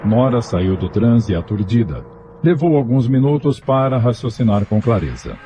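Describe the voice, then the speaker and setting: A man's voice: mid-range voice, sing-song, normal speed, background noise. A man narrating a radio drama from a script in a dramatic storytelling style.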